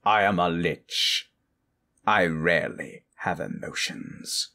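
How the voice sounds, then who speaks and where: Casting: male actor as stern undead lich elder, very low and unhurried, formal fantasy roleplay delivery. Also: sharp tone, heavily acted. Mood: dry, irritated. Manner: solemn, formal, inverted phrasing. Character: grave ancient male lich, stern and scheming mentor. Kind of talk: fantasy audio roleplay, improvised.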